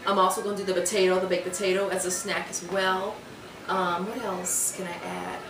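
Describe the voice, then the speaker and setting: A woman's voice: medium pitch, level tone, fairly quick. Young woman, rambling casual vlog, home kitchen, distant mic, echoey room. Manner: chatty, rambling with fillers and restarts. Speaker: fitness vlogger. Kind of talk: casual meal prep vlog. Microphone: camera on counter a few feet away. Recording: roomy, distant, noisy camera audio.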